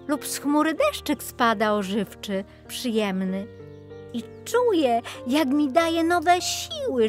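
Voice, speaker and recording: Storybook flax plant, male, naive and wide-eyed, very high, unhurried voice performed by a woman. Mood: joyful, hopeful. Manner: exclamatory, wide-eyed, emphatic.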